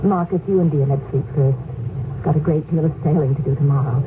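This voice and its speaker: A woman's voice: deep voice, brisk, close to an everyday voice. An actress playing Oriana, a tender and spirited noblewoman with an earnest way of talking. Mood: teasing. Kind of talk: 1970s radio drama acting. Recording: tinny, hissy old radio broadcast.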